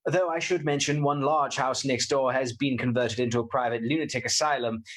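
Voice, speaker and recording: An actor playing a polite, earnest young gentleman in a radio play, speaking precisely and formally. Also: mid-range voice, fairly even intonation, brisk, close to an everyday voice. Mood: businesslike. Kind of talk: radio play acting with diary narration.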